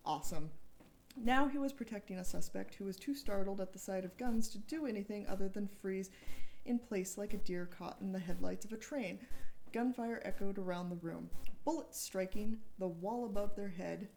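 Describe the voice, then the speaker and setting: A woman's voice: medium pitch, vocal fry, warm tone, slight background noise. A woman giving a dramatic, informal reading of a thriller novel as one of its authors. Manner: informal, breaks off to joke and correct slips. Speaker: co-author reading from own book.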